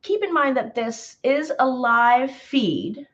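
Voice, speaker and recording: Woman a bit hoarse running a live paint-along, chatty, lots of ums, kinda dull lo-fi sound. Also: high voice, expressive, slow. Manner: chatty, greets viewers by name, frequent fillers. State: slightly hoarse. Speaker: painting instructor. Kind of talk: live painting tutorial chat.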